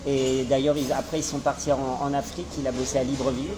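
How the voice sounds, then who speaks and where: A man's voice: mid-range voice, little pitch movement, fast, poor audio. A man from France chatting casually with a stranger, rambling and hesitant, with many fillers. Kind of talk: casual chat.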